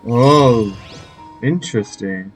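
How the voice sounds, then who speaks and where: A man's voice: medium pitch, slow. A man giving casual gameplay commentary, reacting in short fragments as he plays. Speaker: let's player. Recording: faint game music behind.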